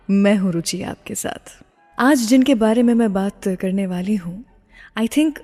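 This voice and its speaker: A woman presenting a scripted radio show as its host, speaking in a measured, presenterly way. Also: mid-range voice, dark tone. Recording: long reverb, music behind. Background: background music.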